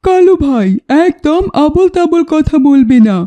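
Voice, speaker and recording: Woman playing high-voiced indignant cartoon youngster. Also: lively intonation, normal speed, mellow. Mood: stern, indignant. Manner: scolding, commanding. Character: indignant young accuser confronting a thief, scolding and bossy. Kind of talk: cartoon voice acting.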